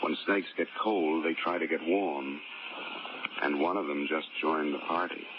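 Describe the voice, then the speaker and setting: A man's voice: rumbling low, a little slow, background noise. An actor playing a wisecracking, hard-boiled male insurance investigator who narrates his own case in a 1940s radio drama. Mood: cool. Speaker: narrator and lead. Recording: muffled old radio recording with music.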